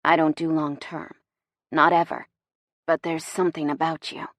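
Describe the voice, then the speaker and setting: Woman voicing a gruff, hushed, seductive man. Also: low-pitched, level tone, dry recording, clean studio sound. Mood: intense, serious. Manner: murmuring, deadly serious, deliberate. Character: confident, intense man, seductive brooding suitor. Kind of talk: romance audiobook dialogue.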